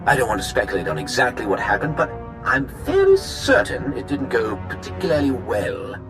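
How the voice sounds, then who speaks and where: An actor playing a guarded, dry mission commander in a sci-fi video game. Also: male voice, mid-range voice, huge pitch swings, brisk, over music. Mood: grave, businesslike. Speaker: mission briefing officer. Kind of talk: video game cutscene acting.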